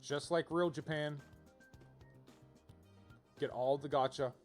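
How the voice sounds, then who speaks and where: A man's voice: on the high side, fairly even intonation, slow pace. Rambling male game streamer, music behind. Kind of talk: casual live game commentary, thinking aloud.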